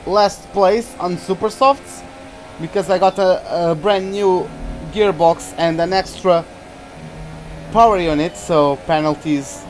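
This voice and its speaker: A man's voice: high-pitched, slow pace, faint music behind. A young Portuguese man with a Portuguese accent, chatting and laughing often as he commentates his own racing game on a live stream. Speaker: gaming streamer.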